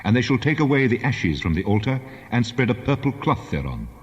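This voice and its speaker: An actor playing the Lord God in a dramatized scripture reading, solemn, deliberate and commanding. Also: male voice, medium pitch, very animated, close to an everyday voice. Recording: long cavernous reverb, dull sound.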